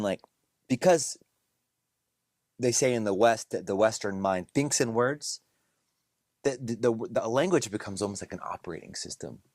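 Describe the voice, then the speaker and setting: A man hosting a podcast, talking in a relaxed, rambling way with frequent restarts. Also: lowish voice, dry recording, studio quality. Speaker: podcast host. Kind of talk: casual conversation.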